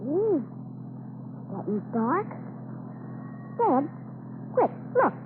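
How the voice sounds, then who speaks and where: A woman's voice: sits high, sing-song, drawn-out words, full character voice. A woman playing a curious, earnest young farm boy who tries to be brave, in an old-time radio drama. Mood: anxious. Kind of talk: 1940s radio drama acting. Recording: scratchy tinny old radio recording.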